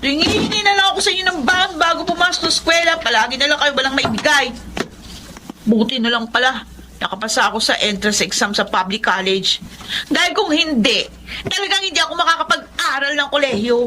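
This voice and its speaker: A woman's voice: high-pitched, fairly quick, noisy background, close to an everyday voice. A woman acting a resentful, ambitious young student from a poor family in a Filipino radio drama, complaining and scornful. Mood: bitter, narrating.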